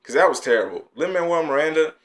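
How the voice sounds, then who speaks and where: A man's voice: on the high side, expressive, quick pace, fairly bright tone. A young man hosting a casual reaction video, blunt and exasperated, with frequent sighs and groans, recorded in a small room at home. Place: small bedroom studio indoors.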